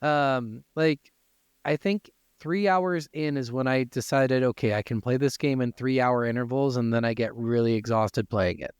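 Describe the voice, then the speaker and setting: A man's voice: mid-range voice, moderate pace, clear, resonant tone, no room sound, studio quality. A man co-hosting a gaming podcast, giving blunt opinions in casual, informal speech. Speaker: video game podcast co-host.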